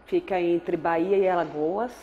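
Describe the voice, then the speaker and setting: A woman's voice: lowish voice, steady tone, a little slow, narrow, tinny audio, a little hiss or hum. A woman in her forties from Aracaju with a Sergipe accent, an anthropologist telling her life story in a thoughtful, reflective way and often adding tag questions. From Aracaju, Sergipe, Brazil. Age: 40s. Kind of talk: reflective personal testimony.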